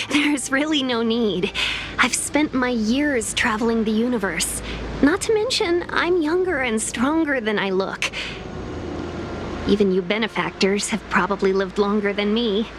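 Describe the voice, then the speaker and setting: A woman's voice: a little high, measured pace, close to an everyday voice. Courteous young envoy woman over background noise acted. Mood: polite, reassuring. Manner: courteous, composed. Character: polite, well-traveled young female envoy, brave and deferential. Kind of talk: English video game voice acting.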